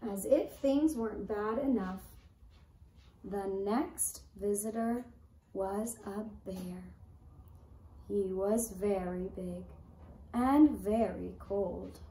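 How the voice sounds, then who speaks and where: A woman telling a children's puppet story in a deliberate, expressive way, recorded from a few feet away indoors. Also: mid-range voice. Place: indoor room set up as puppet stage. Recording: roomy echo, faint background noise.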